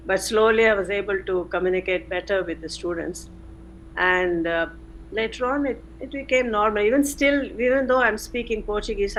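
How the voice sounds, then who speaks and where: An older woman, a retired chemistry professor recalling her career in an interview, unhurried with frequent pauses. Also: fairly low pitch, slight background noise, poor audio. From India. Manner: unhurried, many "uh" pauses, self-corrects. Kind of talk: reflective interview answers.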